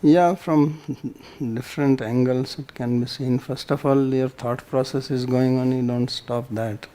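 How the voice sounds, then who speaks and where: A man's voice: mid-range voice, steady tone, a little slow, mellow. A man with an Indian accent teaching a scripture class, reciting Sanskrit and explaining it in short phrases.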